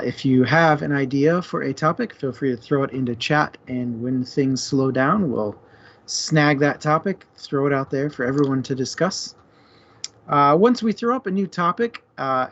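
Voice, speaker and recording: A man's voice: on the high side, a bit bright. A man chatting casually and playfully as co-host of a book discussion show. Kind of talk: casual chat. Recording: dull, muffled lo-fi audio.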